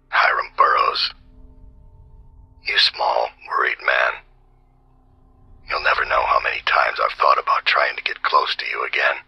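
An actor playing a weary, brooding middle-aged assassin full of regret in a video game, grim and deliberate, with a deep gravelly rasp. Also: male voice, bright, forceful tone, exaggerated voice. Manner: slow, grim, deliberate delivery. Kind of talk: dramatic video game monologue.

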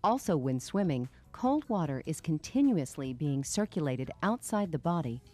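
Low-voiced woman narrating a training film. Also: sing-song, normal speed, darkish tone, faint music behind. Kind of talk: scripted instructional narration.

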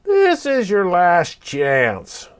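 A man's voice: extremely high pitch, big pitch swings, slow pace, voice pushed up, heavily acted. A man voicing a sly, proud male fox spirit in a spoken storybook tale, with a lofty, teasing manner. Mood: bored.

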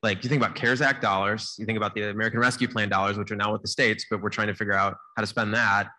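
A man's voice: on the low side, rapid-fire. A man in his thirties on a conference panel, talking casually and energetically into a handheld mic. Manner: casual, energetic, uses "like" often. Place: conference stage. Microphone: handheld microphone.

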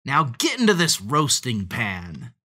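A woman acts out a sly storybook fox, a greedy hunter savoring a catch. The delivery is bright and forceful, slow and gloating, with dramatic swoops in pitch. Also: huge pitch swings, exaggerated voice.

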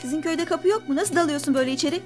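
An actress playing a caring but sharp-tongued grown daughter in a TV drama. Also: female voice, sits high, very fast, lightly acted. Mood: irritated. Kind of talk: TV drama acting. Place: indoor room in a house, on set. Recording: music under the dialogue.

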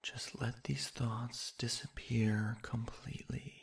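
A man softly narrating a sleep hypnosis meditation in a soothing, hushed, breathy voice with long, unhurried pauses. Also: medium pitch, little pitch movement, whispered.

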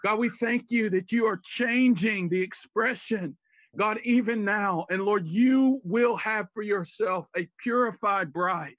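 A man's voice: high-pitched, a little slow, fairly bright tone, no echo. Fervent praying man, dry close sound. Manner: fervent, constantly interjecting "God" and "Lord". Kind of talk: extemporaneous prayer.

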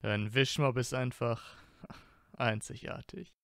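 A man plays an affectionate, teasing blond wizard boy in an audio drama. His voice has a gravelly creak and unfolds in a slow, playful drawl, recorded close and dry. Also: medium pitch, steady tone, fairly bright tone, no echo. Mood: amused. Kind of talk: fan audio drama acting.

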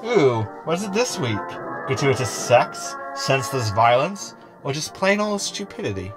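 A man's voice: mid-range voice, very animated, moderate pace, music in the background, natural voice. An actor playing a sardonic, indignant male crew member in a science fiction audio sitcom.

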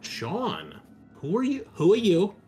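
A man's voice: high-pitched, huge pitch swings, slow pace, warm tone, soft background music. A man speaking as host and game master, chatting casually and informally to listeners and players. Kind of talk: casual hosting chat for an actual-play podcast.

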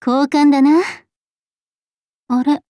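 A woman voicing a laid-back, blunt tomboyish gunner in a game, with rough, boyish, offhand speech. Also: high voice, level tone, takes it slowly, mellow, dry recording, studio quality. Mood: offhand, breezy. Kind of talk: Japanese game character voice acting.

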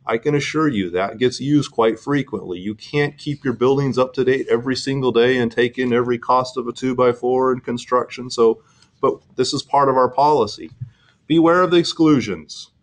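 A middle-aged man walks through insurance points in a webinar at a mid pitch. He explains earnestly and often restarts his sentences with "um". It is a steady, plain presentation voice. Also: moderate pace.

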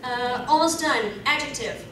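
A young woman playing an anxious, awkward anime character as a panel host, halting and giggly. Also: sits high. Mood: awkward, fretful. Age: young adult. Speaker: fan convention panel host. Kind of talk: live panel word game and mock-dramatic poetry reading. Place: convention panel room, handheld mic through PA. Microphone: handheld mic over speakers, distant camera. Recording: echoey convention hall from far, noisy.

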